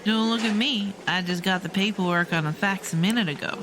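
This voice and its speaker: An actress playing a brisk, businesslike female charge nurse giving a patient report, in an audio drama. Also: mid-range voice, noisy background, natural voice. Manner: curt, businesslike.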